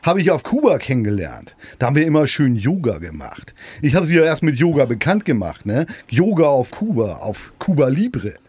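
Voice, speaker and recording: A man playing a rough, folksy pub landlord in a comic radio play, rambling and boastful, with a husky voice. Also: mid-range voice, big pitch swings, brisk, few pauses, a little mellow, no room sound. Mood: confiding, proud. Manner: folksy, rambling, tags sentences with "ne".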